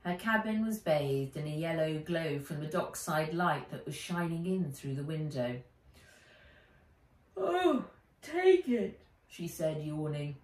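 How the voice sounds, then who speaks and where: A middle-aged woman reading a children's book aloud as its author, with measured, animated storytelling. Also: deep voice. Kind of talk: expressive children's audiobook-style narration. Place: living room by a window. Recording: roomy, distant home camera sound.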